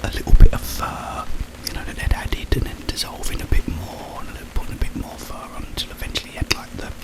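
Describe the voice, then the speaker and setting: A man speaking in a breathy whisper, rambling informally through a story in ASMR style. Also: poor audio. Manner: slow, halting, informal storytelling. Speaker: ASMR content creator.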